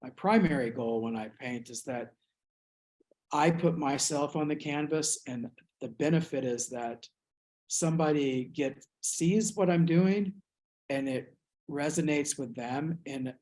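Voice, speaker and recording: A man from the United States, an artist reflecting on his work in an interview, speaking thoughtfully with many pauses and restarts. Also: mid-range voice, darkish tone, poor audio.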